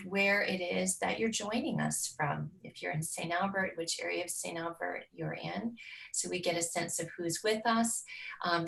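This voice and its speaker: A woman's voice: mid-range voice, creaky, fairly bright tone, far from the mic, low-quality recording. A woman hosting a webinar, introducing the speaker in a hesitant way with fillers, over a video call. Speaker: webinar host.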